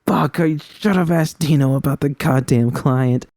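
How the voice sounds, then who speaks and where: A man's voice: on the high side, measured pace, a little mellow, dry recording, clean studio sound, pitched above the performer's own voice. A man voicing a panicked young female mercenary who stammers, swears and pleads through sobs in an audiobook story. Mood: shaken, sobbing. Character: young street mercenary woman, sobbing, panicked, desperate, foul-mouthed.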